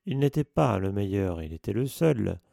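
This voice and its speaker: Male narrator voicing a huge blunt giant, growling, rough-edged, dry close mic. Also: medium pitch, animated, normal speed, dark tone, no echo. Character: huge gruff man, gamekeeper, blunt.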